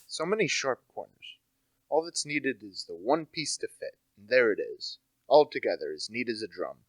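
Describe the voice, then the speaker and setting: A young man playing a precise, brooding, intellectual gentleman detective in a radio play, deliberate and theatrical in delivery. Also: medium pitch, sing-song, low-quality recording. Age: young adult.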